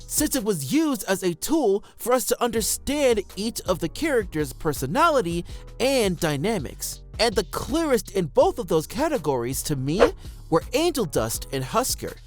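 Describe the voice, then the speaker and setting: Lively male video essay narrator. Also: high voice, normal speed, faint music behind. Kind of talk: scripted narration, fan commentary.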